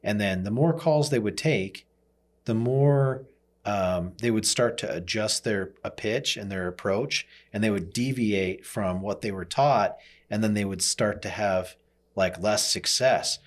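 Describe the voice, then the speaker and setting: A man's voice: fairly low pitch, unhurried. A man hosting a podcast, talking in a conversational, explanatory way about business. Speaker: podcast host.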